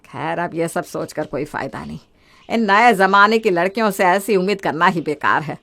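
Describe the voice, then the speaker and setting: A woman's voice: fairly low pitch, expressive, normal speed, gravelly, fairly bright tone, pitched below the performer's own voice. A woman voicing a nagging, old-fashioned older mother-in-law who grumbles and scolds in a family moral story. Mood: grumbling.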